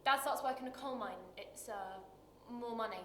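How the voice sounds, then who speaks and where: A woman acting a dramatic screen monologue as a bitter, wounded working-class woman, confessional and halting, with weighted pauses. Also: on the high side, fairly bright tone, close to an everyday voice. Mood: wry, resigned. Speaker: actor performing a monologue. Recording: roomy echo with light background noise.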